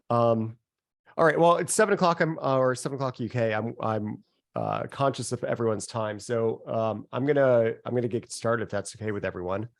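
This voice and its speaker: A man getting over a cold, with a nasal voice, giving a relaxed, conversational workshop presentation over a video call. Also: medium pitch, normal speed, gravelly, no echo, studio quality. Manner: relaxed and conversational, chuckles at himself. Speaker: software founder presenting a workshop. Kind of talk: casual live webinar presentation.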